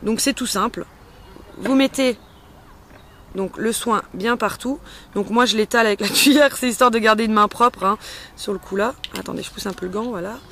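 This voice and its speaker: A woman's voice: fairly high pitch, normal speed, fairly bright tone, a little hiss or hum. A woman hosting a casual step-by-step tutorial in a chatty, playful and informal way. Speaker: host of a homemade beauty-care tutorial. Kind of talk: casual spoken step-by-step demonstration.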